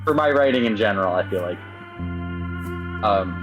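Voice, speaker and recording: A man's voice: fairly low pitch, animated, normal speed. A man who writes games, chatting casually in an interview, hesitant and rambling with frequent stammers. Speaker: game writer. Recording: slightly dull lo-fi mic. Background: background music.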